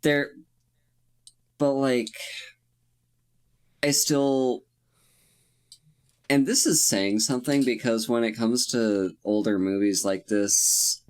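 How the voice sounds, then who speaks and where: A man's voice: medium pitch, fairly even intonation, takes it slowly, long pauses, darkish tone, clear, resonant tone. A man co-hosting a casual film podcast, sniffly from a cold, rambling and hesitant as he searches for words. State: getting over a cold, sniffly. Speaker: podcast co-host.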